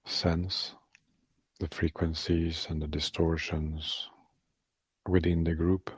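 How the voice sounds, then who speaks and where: A man leading a guided meditation, speaking softly and calmly in short, halting phrases with long pauses. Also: low-pitched, breathy edge, dry recording.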